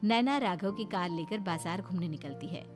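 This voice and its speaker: A woman's voice: lowish voice, moderate pace, over music. A woman narrator reading a scripted story.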